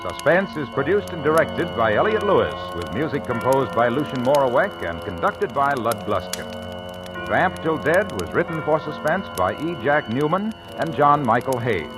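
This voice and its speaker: Animated American radio pitchman. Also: moderate pace, music in the background. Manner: emphatic, polished salesman delivery. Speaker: commercial announcer. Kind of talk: 1950s scripted radio advertising and credits.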